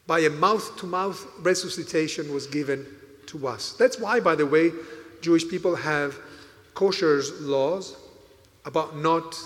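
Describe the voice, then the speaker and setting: A priest whose first language is Italian delivers a homily in a slightly high, unhurried voice. He leans on key words with emphatic rises. The sound carries a long reverb, as in a church. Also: a bit bright. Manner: slow, deliberate preaching with emphatic rises.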